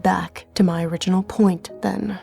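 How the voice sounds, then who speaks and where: A woman playing the Reaper, a calm and cunning leader of soul-takers, speaking in a measured, coolly authoritative way in an audio drama. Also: fairly low pitch, gravelly. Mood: cool, pointed. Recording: music behind the voice.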